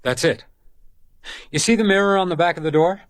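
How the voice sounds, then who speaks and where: An actor playing a gruff, hard-drinking hotel detective in a radio crime drama, speaking in terse, urgent phrases. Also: male voice, sits high, fairly quick, no echo, lightly acted. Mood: brisk, focused.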